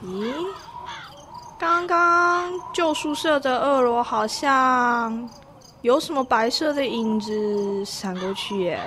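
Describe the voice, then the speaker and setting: A woman's voice: a little high, slow pace. A woman playing a sensible, level-headed schoolgirl with a Taiwanese accent in a student radio drama. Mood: uneasy, wondering. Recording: noisy amateur radio drama recording.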